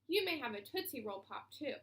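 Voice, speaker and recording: Woman playing a firm, kindly, animated schoolteacher. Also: sits high, fairly quick. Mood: patient. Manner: stern yet encouraging. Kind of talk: children's storybook read-aloud.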